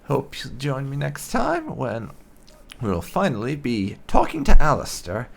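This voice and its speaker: A man's voice: mid-range voice, wildly swooping pitch, a little slow, vocal fry, a little hiss or hum. A man giving casual let's play commentary as a gaming host, musing aloud with wry asides and some roleplay.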